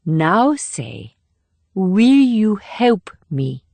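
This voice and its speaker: A woman playing a gentle, guarded young woman operative in audiobook dialogue, speaking in a measured, instructive way. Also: mid-range voice, very animated, vocal fry, no room sound, full character voice. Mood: patient, gentle.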